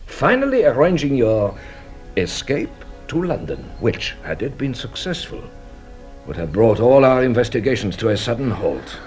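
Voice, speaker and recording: An actor playing a shrewd, courteous police detective in a radio drama, speaking with a theatrical, deliberate manner. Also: male voice, medium pitch, sing-song, a little mellow, soft background music, natural voice. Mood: explaining, assured. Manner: theatrical, deliberate explaining, savouring each revelation.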